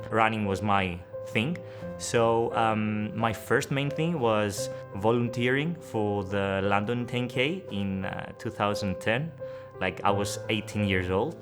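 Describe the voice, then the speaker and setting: Greek accent guy, 30s, lowish voice, relaxed and halting, music behind. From Greece. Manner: relaxed, hesitant with fillers. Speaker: doctor. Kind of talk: documentary interview.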